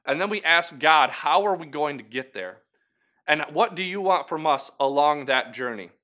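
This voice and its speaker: Bright-voiced earnest male devotional host. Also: fairly high pitch, expressive, moderate pace. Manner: earnest, encouraging, conversational with asides. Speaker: host of a weekly video devotional. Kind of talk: short devotional talk to camera.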